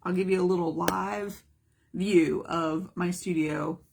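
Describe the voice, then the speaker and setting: A hoarse woman, a mixed media art teacher, chatting casually through a live craft demonstration, greeting viewers by name. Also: lowish voice, fairly even intonation, takes it slowly, a little mellow, a little hiss or hum. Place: home art studio.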